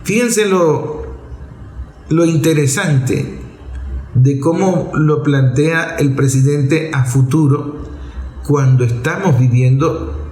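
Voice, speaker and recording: A man's voice: a little high, takes it slowly, pauses often, darkish tone, echoey room, poor audio. A man with a Venezuelan accent delivers a political commentary monologue as a show host, explaining didactically in short, emphatic, repeated phrases.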